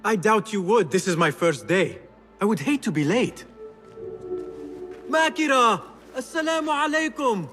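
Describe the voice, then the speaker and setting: Charming, lively young rogue. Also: male voice, high voice, measured pace, pauses often, faint music behind, exaggerated voice. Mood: bluffing, cheerful. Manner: formal phrasing, teasing, persuasive. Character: smooth, charming, wheedling young male thief. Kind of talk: video game cutscene acting.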